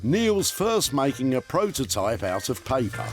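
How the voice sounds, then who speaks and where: Animated, wry male narrator over music. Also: medium pitch, a little slow. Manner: wry, teasing, playful. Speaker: narrator of a TV upcycling show.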